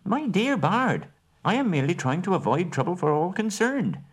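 Fussy, shrewd little hobbit, high and bouncy, voiced by a man. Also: very animated, slightly rough, exaggerated voice. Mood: businesslike. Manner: brisk businesslike, genteel. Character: fussy polite male hobbit, small and shrewd.

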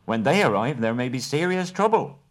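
A man voicing a small, shrewd male hobbit who talks in a brisk, businesslike, genteel way. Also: medium pitch, very animated, voice pushed up, close to an everyday voice.

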